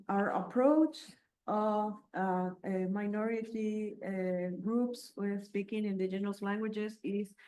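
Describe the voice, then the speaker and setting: A woman's voice: mid-range voice, a little mellow, echoey room, rough recording. A woman moderating a talk and interpreting it into English, with many hesitations. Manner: hesitant, many fillers while translating.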